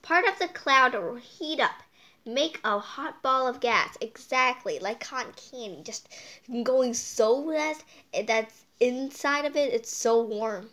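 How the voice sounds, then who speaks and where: A woman's voice: a little high, animated, slow pace, sharp tone. A woman hosting a casual storytime read-aloud, drifting off into playful, whimsical asides as she goes.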